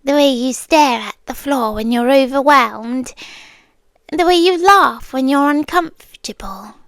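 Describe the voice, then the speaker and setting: A British woman playing a possessive, menacing yandere girlfriend in an ASMR roleplay, soft-spoken and deliberate, often slipping into whispers, sweetly condescending. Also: sits high, no echo. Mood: creepily tender. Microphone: close, dry microphone.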